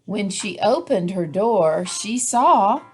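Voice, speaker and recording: A woman narrating a children's picture book in a deliberate, expressive storytelling manner. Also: medium pitch, slightly rough, low-quality recording.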